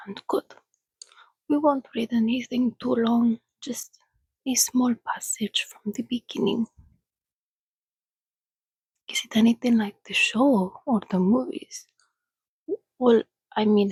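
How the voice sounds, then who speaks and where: A woman voicing an ancient female vampire in a soft-spoken, breathy ASMR roleplay, coaxing and gently teasing as she hosts a listener. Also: fairly high pitch, steady tone, dry recording, exaggerated voice, rough recording. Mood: soothing, inviting. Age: over a thousand years old, as played. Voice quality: breathy, whispery. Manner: slow, coaxing, conversational with pauses.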